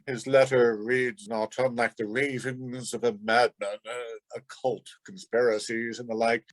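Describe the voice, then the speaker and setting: A flustered, husky gentleman father. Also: medium pitch, no room sound. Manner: hesitant, stammering, formal wording. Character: flustered, blustering old-fashioned gentleman father. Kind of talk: radio play acting.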